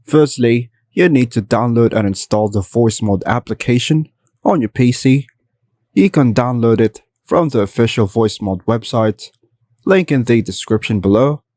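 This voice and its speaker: A man narrating a scripted step-by-step software tutorial in an even, instructional manner. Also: medium pitch, moderate pace, no room sound, clean studio sound.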